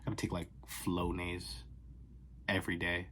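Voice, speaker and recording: Animated young man ranting into a phone. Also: deep voice, normal speed, very noisy. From Boston area. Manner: animated, sarcastic, jumps between loud outbursts and whispers. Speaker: fragrance vlogger. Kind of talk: casual rambling vlog. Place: his bedroom. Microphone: phone camera.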